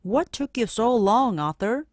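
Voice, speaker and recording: A woman's voice: a little high, lively intonation, a little slow, a little mellow, no echo, studio quality, voice pushed up. A woman voicing a stern, busy male schoolteacher in a storybook, firm and instructive as if addressing a class. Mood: questioning. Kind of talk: storybook character voice.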